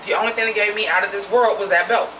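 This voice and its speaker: A woman with a naturally deep voice giving a blunt, profane, opinionated drag review in a casual vlog. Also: steady tone, racing, echoey room, distant mic, background noise.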